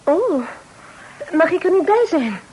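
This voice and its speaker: An actress playing a playful, forthright young sister from a polite period family in a Dutch radio play. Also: female voice, extremely high pitch, very animated, slow, darkish tone, exaggerated voice. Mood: polite, pouting. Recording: noisy old radio play recording.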